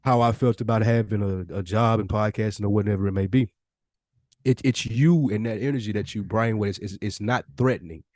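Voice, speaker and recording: A man's voice: on the low side, brisk, darkish tone, dry recording, clean studio sound. A man co-hosting a podcast in casual, earnest talk, using slang and profanity and often voicing imagined quotes. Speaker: podcast co-host.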